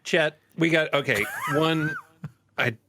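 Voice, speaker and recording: A man playing a tabletop role-playing game, thinking aloud in casual, halting, emphatic talk. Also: a little high, huge pitch swings, bright, forceful tone, slight background noise. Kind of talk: casual improvised role-play chat.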